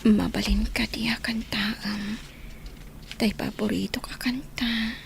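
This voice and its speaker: Woman doing frail sick wife in radio drama, whispery creaky, real slow, bit of background noise. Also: medium pitch, steady tone. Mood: weak, wistful. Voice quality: whispery, weak. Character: ailing wife Flora, frail and wistful.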